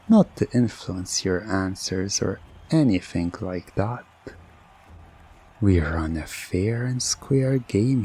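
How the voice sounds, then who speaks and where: A man playing a suave, teasing, controlling game show host in a hypnosis ASMR roleplay, with drawn-out, coaxing delivery and dramatic pauses. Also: low-pitched, dark tone, breathy edge, heavily acted.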